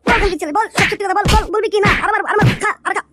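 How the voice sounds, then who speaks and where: A performer voicing an aggressive young village lad, blunt and forceful, in an exaggerated comic Bengali drama style. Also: extremely high pitch, steady tone, racing, music in the background. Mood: furious.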